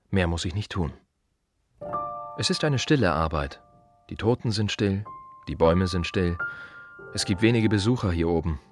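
An aging gravedigger in a radio play monologue, with a very low voice over soft music. He is weary and reflective, fond of silence. He muses in terse fragments, circling back to repeat his phrases. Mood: quiet, matter-of-fact.

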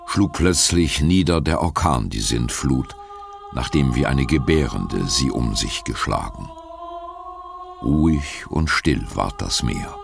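An actor reading a solemn audiobook narration of ancient myth and history in a deep, gravelly voice. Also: male voice.

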